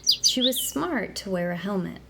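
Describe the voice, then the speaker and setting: A woman's voice: medium pitch, animated. Woman reading a children's book. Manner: clear, explanatory, slow on medical terms. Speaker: narrator of an interactive children's book. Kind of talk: scripted read-aloud narration for kids. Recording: clear background noise.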